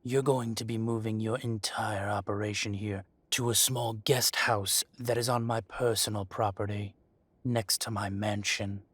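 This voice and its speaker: A man performs a possessive dragon-shifter crime lord in an intimate audio roleplay. His low voice is rough and breathy, recorded very dry. He delivers condescending threats slowly and deliberately, leaving heavy pauses. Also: steady tone, a bit bright, no room sound. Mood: commanding, threatening. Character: menacing, possessive dragon-shifter mafia boss, adult male. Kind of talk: dramatic roleplay monologue addressed to the listener.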